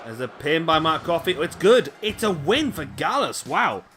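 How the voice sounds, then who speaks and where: A man's voice: fairly high pitch, very animated, normal speed, fairly bright tone, soft background music, some chatter behind. Chatty man commentating a video game. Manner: chatty, rambling asides to viewers. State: voice going hoarse. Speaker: gaming commentator. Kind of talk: casual play-by-play commentary. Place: home room, late at night.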